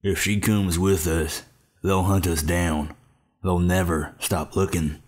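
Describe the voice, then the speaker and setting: Man acting deep, solemn family patriarch. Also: fairly even intonation, slow pace, a little mellow. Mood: grave warning. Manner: solemn, warning. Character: grave, cautious family patriarch. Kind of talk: audio drama acting.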